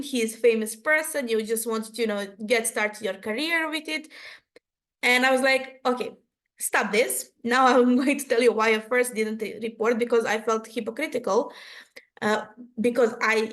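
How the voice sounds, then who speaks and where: A woman from Serbia with a Serbian accent, speaking candidly and conversationally as an activist guest on an online panel. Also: a little high. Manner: candid, conversational, with quoted asides. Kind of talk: informal personal account at an online panel. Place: online video call.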